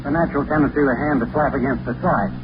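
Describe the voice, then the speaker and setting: A man's voice: medium pitch, racing, muffled recording, background noise. A man talking in scripted old-time radio style, explaining things on a vintage broadcast recording.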